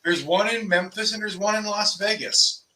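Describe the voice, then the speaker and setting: A man's voice: sits high, moderate pace, bright, forceful tone, slight background noise, rough recording. A man from Upper Michigan, co-hosting a casual chat with dry, wisecracking asides. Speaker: co-host.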